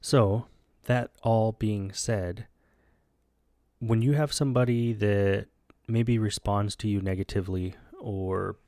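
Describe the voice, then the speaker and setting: A low, gravelly man whose first language is Spanish, talking in a dry, close-miked way. He co-hosts a podcast, rambles loosely and drops sarcastic asides. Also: steady tone, takes it slowly, mellow, no echo. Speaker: podcast co-host. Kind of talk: casual chat.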